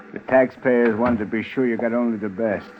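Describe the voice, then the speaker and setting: An actor playing a taunting, hard-boiled police detective with sarcastic delivery in a 1950s radio crime drama. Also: male voice, on the low side, lo-fi sound, lightly acted. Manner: sneering, sarcastic. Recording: thin, noisy old radio broadcast.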